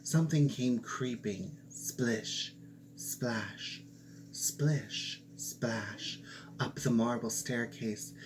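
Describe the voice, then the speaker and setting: A man reading a fairy tale aloud, slow and expressive, with playful asides. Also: mid-range voice, slight background noise, rough recording. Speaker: storyteller.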